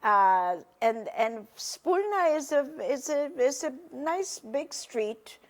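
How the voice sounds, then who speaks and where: An older woman whose first language is Polish, recalling her past slowly and hesitantly in an oral history interview. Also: high voice, animated. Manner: slow, hesitant, reflective, many pauses and fillers. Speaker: interviewee, testimony witness. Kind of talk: recorded oral history testimony.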